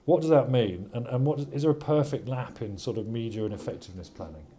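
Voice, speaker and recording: A man hosting a panel interview at an industry event, asking quick, chatty questions with a joking touch. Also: medium pitch, warm tone, slight background noise.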